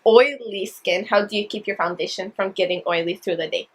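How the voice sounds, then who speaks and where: A woman, the host's younger sister, slowly reading viewers' questions from behind the camera with the odd teasing interjection. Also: medium pitch.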